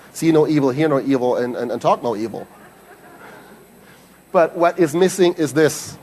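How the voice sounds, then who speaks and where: A man's voice: a little high, darkish tone, a little hiss or hum. A man who designs meetings, hosting a conference session in an earnest manner with repetitive phrasing. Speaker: meeting designer, event host. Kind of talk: conference talk. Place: conference hall.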